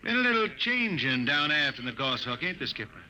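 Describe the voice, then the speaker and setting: A man's voice: on the high side, sing-song, brisk, bright, forceful tone, heavily acted, low-quality recording. A drawling hard-luck spaceman. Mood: wry. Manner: folksy rural drawl, ungrammatical. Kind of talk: 1950s radio drama acting. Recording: old radio broadcast with music.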